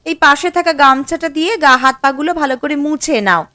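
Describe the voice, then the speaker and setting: A woman playing a bossy but caring housewife in a cartoon moral story, brisk and quick to nag. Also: high-pitched, fairly even intonation, pushed and bright, dry recording. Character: bossy, caring housewife, easily piqued by husband.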